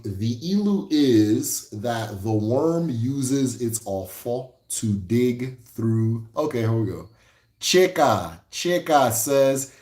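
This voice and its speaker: A man with an Igbo accent teaching spirituality in a casual livestream, relaxed and reflective, who often repeats key phrases and tags "right?". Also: mid-range voice, echoey room. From Igbo, Nigeria.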